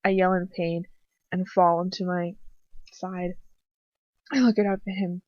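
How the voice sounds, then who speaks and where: A woman reading a dramatic first-person story aloud with an emotive, slightly halting delivery. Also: on the low side, flat delivery, a little mellow, no echo. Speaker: amateur fan fiction reader. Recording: dull lo-fi home recording.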